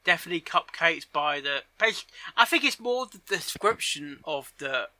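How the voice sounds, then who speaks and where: Guy chatting about a story, really sharp bright voice, kinda high, dry sound, repeats himself. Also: lively intonation, no room sound. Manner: halting, repeats and rephrases himself. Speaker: reaction video host. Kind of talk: casual spoken commentary on a story.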